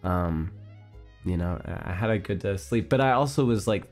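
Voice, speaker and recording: A man streamer chatting casually with his viewers, rambling with restarts and little breathy laughs. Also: deep voice, lively intonation, normal speed, a little mellow, soft background music.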